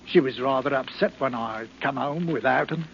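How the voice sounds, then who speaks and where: An actor playing a meek, flustered husband with something to hide, hesitant and deferential, in 1940s radio style. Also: male voice, mid-range voice, moderate pace, creaky, lightly acted. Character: meek, flustered English husband hiding guilt. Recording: muffled, noisy 1940s radio recording.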